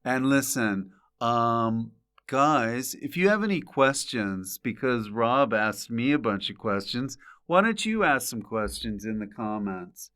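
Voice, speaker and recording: A man hosts a casual comedy podcast with a smooth, clear voice at an average pitch. He talks informally and playfully, in a jokey conversational way. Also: animated, slow pace, a bit bright. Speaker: podcast host. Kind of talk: casual comic podcast chat.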